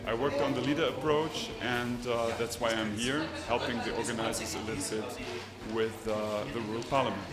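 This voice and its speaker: A man's voice: medium pitch, fairly even intonation, moderate pace, few pauses. Man introducing himself in an echoey room. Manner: easygoing, with uhs. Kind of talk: short self-introduction.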